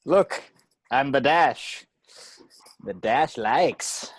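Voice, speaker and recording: A performer playing an excitable, boastful young boy superhero with a husky voice in an amateur table read. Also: medium pitch, very slow, no echo. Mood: boastful, excited.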